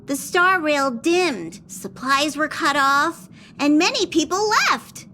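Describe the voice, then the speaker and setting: A woman's voice: very high voice, pushed and bright, slight background noise, full character voice. A woman voicing a small, bossy, fretful train conductor creature in a video game, with a scolding manner.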